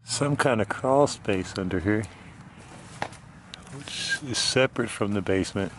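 Low-ish voiced guy wandering an abandoned house w a camera, joking around, bit of hiss in the background. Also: unhurried, a bit bright. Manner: chatty, joking, wondering aloud. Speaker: urban explorer vlogger. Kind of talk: casual exploring commentary. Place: abandoned house, indoors and overgrown yard.

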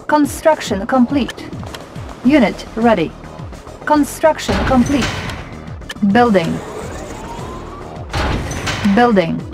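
Game command announcer lady, detached short alerts, higher voice, music behind. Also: animated, slow pace, long pauses. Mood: impassive. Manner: clipped, detached. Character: female military command announcer, crisp, impassive.

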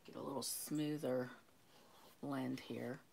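An older woman teaching a painting lesson, talking slowly and calmly while she works, losing her voice and clearing her throat often. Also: rumbling low, a little hiss or hum. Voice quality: gravelly voice. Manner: slow, calm, unhurried talk. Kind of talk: live painting lesson, thinking aloud. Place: home art studio, indoors.

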